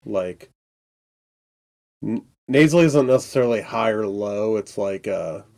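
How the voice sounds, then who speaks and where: A man's voice: lowish voice, expressive, unhurried, creaky, darkish tone. A man with a stuffy, congested voice co-hosts a casual podcast. He rambles and hesitates, trailing off and chuckling between thoughts. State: congested from a cold. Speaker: podcast co-host. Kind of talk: casual chat.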